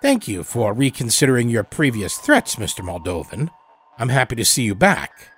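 An elderly doctor of a secretive clinic, acted out by a male storyteller, speaks with measured formality and grave weight. His delivery moves widely in pitch and has a husky edge, suited to a sci-fi horror tale. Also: wildly swooping pitch, a bit bright, exaggerated voice. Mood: courteous. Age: older. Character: elderly evasive doctor running a secret time-travel clinic. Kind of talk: dramatic audio horror storytelling.

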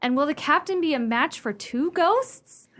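A woman's voice: sits high, lively intonation, a little slow, a bit bright. A woman playing a fretful, melodramatic widowed lady in a radio play, genteel, theatrical and easily alarmed.

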